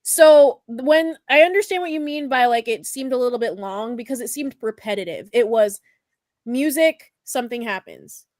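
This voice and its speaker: A woman's voice: fairly high pitch, moderate pace, a little mellow, dry recording, clean studio sound. A woman chatting as a podcast panelist in a casual group discussion, explaining her opinions informally. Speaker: podcast panelist reviewing a film.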